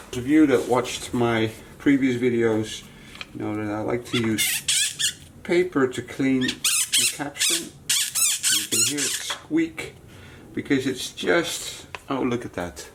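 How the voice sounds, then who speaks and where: Male repair-video presenter, unhurried delivery with lively pitch swings, casually thinking aloud to viewers; noticeable background noise. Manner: thinks aloud while working, talking to viewers. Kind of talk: casual hands-on tutorial commentary.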